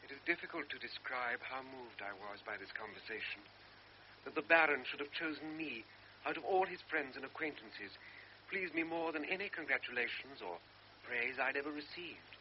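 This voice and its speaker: A man's voice: mid-range voice, lively intonation, moderate pace, slight background noise, close to an everyday voice. A young actor playing a lieutenant who narrates in the first person, reflective and earnest about his guilt. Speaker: lieutenant recalling events. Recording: lo-fi radio recording over music.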